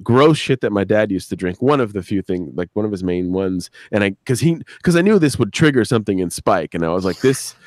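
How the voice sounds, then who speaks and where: A man with a fairly low voice talks off the cuff as a guest on a live stream. He rambles, swears casually and acts out bits of dialogue, and the sound is close and dry with little room in it. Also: animated, brisk, few pauses, a little mellow, no echo. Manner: profane, rambling, acts out quotes. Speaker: audio drama creator and director, interview guest.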